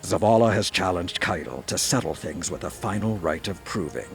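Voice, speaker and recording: A stern war hawk from a game cinematic. His voice is very deep, and he delivers each point with proud, grave emphasis like a seasoned orator. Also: normal speed, soft background music. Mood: grim satisfaction. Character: stern, hawkish veteran warrior commander, proud and contemptuous of enemies. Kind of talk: dramatic video game cutscene acting.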